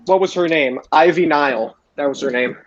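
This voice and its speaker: A man hosting a podcast, giving a casual wrestling recap in a halting way, often repeating phrases. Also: fairly high pitch. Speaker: podcast host. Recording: roomy, dull lo-fi voice chat.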